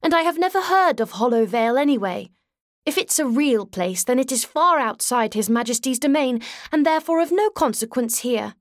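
A woman's voice: high voice, animated, normal speed, no echo, studio quality. A woman voicing a pert, matter-of-fact ten-year-old girl in a storybook, with a prim, sniffy manner. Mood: sniffy, defiant.

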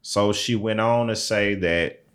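A man's voice: fairly low pitch, slow, a bit bright, clean tone. Chill guy cohosting a podcast, easygoing, stretches his words out. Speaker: podcast co-host. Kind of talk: casual chat.

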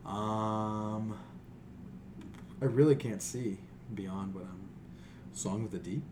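A man's voice: fairly low pitch, steady tone, slow, noisy background. A man co-hosting a podcast in casual chat, opinionated, slangy and given to swearing. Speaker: podcast co-host.

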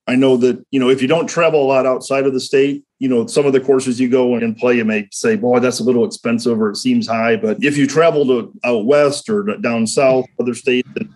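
Slightly raspy man with a raw throat. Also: medium pitch, a little mellow, poor audio. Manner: chatty, easygoing explainer. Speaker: golf association executive director. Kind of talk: interview guest.

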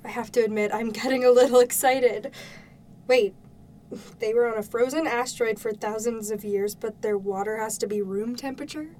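Female actor as caring skeptical mother, audio drama, questioning, fairly high voice, slightly distant, faint noise. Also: fairly even intonation, moderate pace, a little mellow, lightly acted. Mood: curious. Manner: conversational, questioning, concerned. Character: caring, mildly skeptical mother, warming to her family. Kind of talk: naturalistic audio drama acting.

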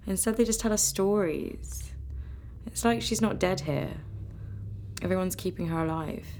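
A woman's voice: lowish voice, moderate pace, a little mellow, slight background noise, natural voice. A woman with a British accent playing a grieving, sceptical young British-Jamaican in a radio play, guarded and matter-of-fact. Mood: reflective. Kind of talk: naturalistic radio play acting.

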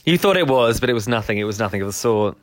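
A man's voice: lowish voice, animated, quick pace. A man co-hosting a podcast, rambling and laughing often in casual banter. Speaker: podcast co-host, journalist. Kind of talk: casual comedic chat.

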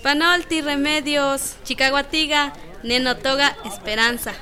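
A helpful village woman in a radio drama. Her voice is high. She comes across as the informed one, explaining things to her friends. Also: steady tone, measured pace, sharp tone. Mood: friendly, informative. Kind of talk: radio soap opera acting.

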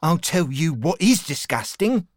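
A woman's voice: sits low, very animated, measured pace, dry recording, clean studio sound, heavily acted. A woman voicing a proud, easily wounded little male dog who splutters huffy protests in a dramatised children's audiobook. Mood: defiant.

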